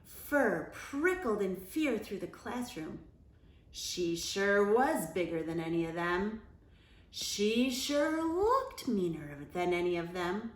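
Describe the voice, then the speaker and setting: A woman reading a children's picture book aloud in a deliberate, expressive storyteller's manner. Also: medium pitch, echoey room. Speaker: story time reader.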